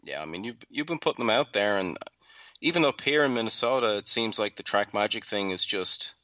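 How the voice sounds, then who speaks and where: A low-voiced Irish man with a sharply bright, forceful tone, chatting as a podcast guest. He talks loosely and casually, and the sound is close and dry. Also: brisk, no room sound. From Ireland. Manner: relaxed, chatty, loose swearing.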